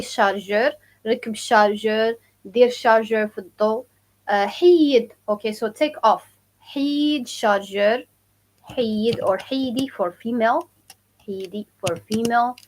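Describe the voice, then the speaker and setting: A young woman from Morocco with a Moroccan accent, teaching her dialect on a live stream and slowly repeating phrases for learners. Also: mid-range voice, low-quality recording. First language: Moroccan Arabic. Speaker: language teacher. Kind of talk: live-stream language lesson.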